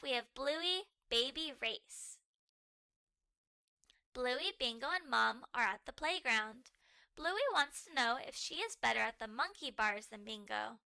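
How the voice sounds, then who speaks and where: A woman reading children's stories aloud as a storyteller, in an expressive, deliberate manner. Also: fairly high pitch, vocal fry, clean tone, dry recording. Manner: animated, deliberate, models fluent reading.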